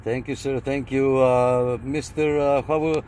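A man's voice: mid-range voice, flat delivery, measured pace, mellow. Man on cb, noisy car. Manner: repeats phrases, courteous. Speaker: CB radio operator. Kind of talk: casual CB radio calls. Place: inside a vehicle. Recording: noisy vehicle cabin, radio static behind.

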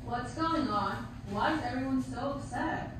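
A woman voicing a timid, fretful cowardly lion in an amateur puppet show. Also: high voice. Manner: timid, drawn-out. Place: theater, camera far from puppet stage. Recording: distant echoey theater recording, faint noise.

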